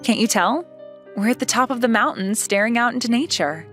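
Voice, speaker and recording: A woman's voice: on the high side, a little fast, over music, close to an everyday voice. A young woman playing a reserved yet playful schoolgirl in a manga dub, coy and matter-of-fact with a touch of indignation. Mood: expectant. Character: reserved yet playful, easily flustered schoolgirl love interest.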